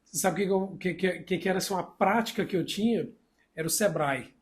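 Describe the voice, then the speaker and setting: A man's voice: sits high, fast. A man from Brazil co-hosting a casual podcast, chatty and telling stories with easy laughter. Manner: chatty, anecdotal, laughs easily.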